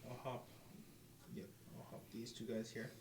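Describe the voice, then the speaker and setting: A man's voice: mid-range voice, monotone, normal speed, darkish tone. A man talking through his tabletop game moves casually, counting aloud. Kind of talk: casual chat during tabletop gameplay. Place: indoors around a game table. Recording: distant mic, echoey, very noisy room.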